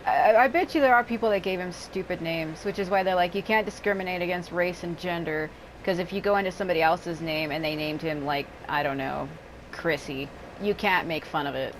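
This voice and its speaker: A woman's voice: lowish voice, fairly quick, a little hiss or hum, low-quality recording. A woman hosting a gaming channel, talking casually over her gameplay with rambling, joking asides. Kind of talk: casual let's-play commentary. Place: hot room at home.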